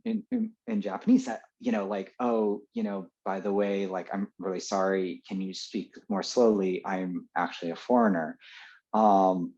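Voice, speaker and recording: A man with an American accent, a podcast guest telling stories in a loose, chatty way, full of fillers and quick laughs. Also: lowish voice, a little mellow. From Los Angeles, USA. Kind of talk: casual storytelling. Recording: dull lo-fi sound.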